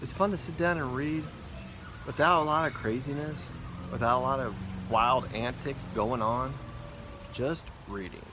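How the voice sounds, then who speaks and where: A man's voice: medium pitch, unhurried. A man, a school principal, reading a children's storybook aloud outdoors in an informal way for young listeners. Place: outdoors in a backyard. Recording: outdoor noise, vehicle and ambient hum. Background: loud outdoor ambience, vehicle noise.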